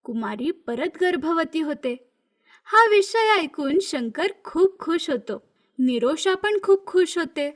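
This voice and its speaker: A female storyteller with a very high voice narrates a scripted children's tale. Her delivery is that of an animated moral story reader. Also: slow pace.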